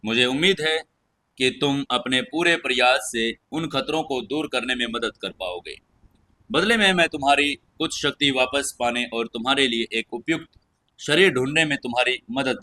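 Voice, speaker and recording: A persuasive magician mentor from an audio fantasy story, played by a male narrator. He coaxes and insists with earnest scheming in a bright, forceful voice. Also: mid-range voice.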